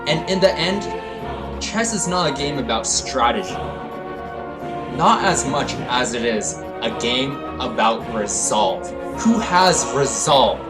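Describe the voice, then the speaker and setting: A man's voice: slow pace, over loud music. Young man telling a mock-dramatic comedy story. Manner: mock-dramatic, swings between hyped rants and low deadpan. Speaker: storytelling vlogger.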